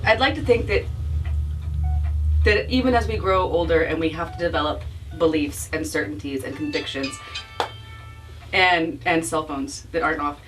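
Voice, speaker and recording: A woman's voice: sits low, moderate pace, pauses often, over music. A woman author speaking informally at a bookstore event, reflective and self-deprecating, often restarting her phrases as she explains her work. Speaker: author being interviewed about her book. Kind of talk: informal spoken book talk with audience. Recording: distant mic, faint room noise.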